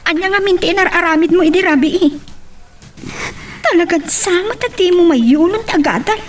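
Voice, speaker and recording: A woman's voice: very high-pitched, fairly quick, far from the mic. A woman playing a scolding schoolgirl in a radio drama, with an Ilocano accent. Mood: chiding.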